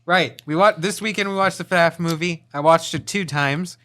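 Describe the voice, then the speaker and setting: A man's voice: fairly high pitch, moderate pace, a bit bright. A man hosting a casual group chat, hesitantly trying to steer the conversation. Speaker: host.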